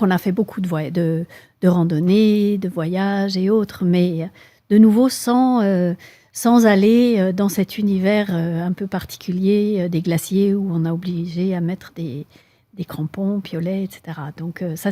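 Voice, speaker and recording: A middle-aged woman from Switzerland with a Swiss French accent, answering interview questions thoughtfully, with frequent pauses and fillers. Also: on the low side, fairly even intonation, warm tone, dry recording, clean studio sound. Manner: thoughtful, hesitant with many fillers. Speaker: guest, mountaineer.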